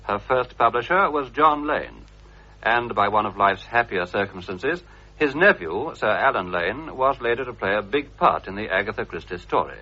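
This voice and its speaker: Lively bright-voiced male documentary narrator. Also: fairly low pitch, breathy edge. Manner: measured, formal storytelling. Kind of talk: scripted narration. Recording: dull old radio broadcast recording.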